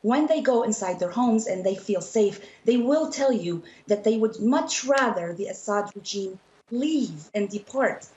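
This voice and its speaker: A woman's voice: mid-range voice, expressive, a little slow, hardly any pauses, mellow, reverberant, lo-fi sound. A woman from Syria speaking as an activist in an impassioned televised political debate, emphatic and insistent, repeating her phrases.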